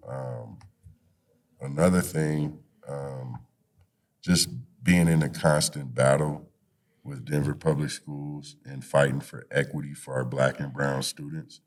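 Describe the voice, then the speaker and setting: A man's voice: extremely low pitch, steady tone, takes it slowly. A man speaking as a podcast guest and youth activist in a conversational, motivational way, encouraging and often saying "you know".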